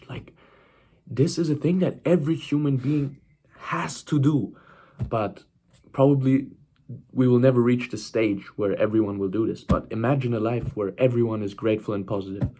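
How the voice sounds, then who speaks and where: A man's voice: medium pitch, dark tone, slightly rough. A nineteen-year-old man giving a casual motivational talk to camera, speaking emphatically, repeating himself and often checking in with the listener. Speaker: self-improvement vlogger.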